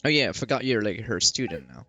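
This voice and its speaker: Female gaming host: very low pitch, lively intonation, loose informal chatter. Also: fast talker. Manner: giggly, informal, rambling. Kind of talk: casual gameplay commentary. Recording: faint game music behind.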